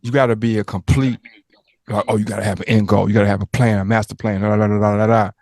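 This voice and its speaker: Rambling, joking man host with a low voice. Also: fairly even intonation, fast talker, a little mellow, dry recording, clean studio sound. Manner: rambling, joking, prone to tangents and stammers. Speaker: interviewer and host. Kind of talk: casual interview chat.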